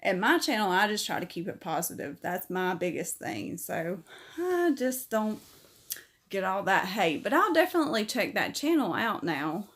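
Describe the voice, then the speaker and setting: A woman's voice: medium pitch, very animated, moderate pace, fairly bright tone. A middle-aged woman, chatting casually on a livestream as she reads viewer comments aloud and answers each one.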